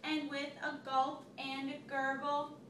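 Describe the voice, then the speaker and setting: High-voiced woman reading aloud to a child. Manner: expressive storytelling, pausing to ask questions. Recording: echoey room, recorded from far off, noisy.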